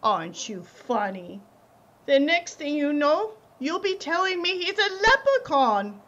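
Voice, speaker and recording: A woman's voice: sits high, big pitch swings, measured pace, a bit bright, lo-fi sound, exaggerated voice. A woman playing an affectionate, wry wife in a radio play. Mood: playful scolding. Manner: wry, teasing. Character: teasing, affectionate working-class Irish-American wife.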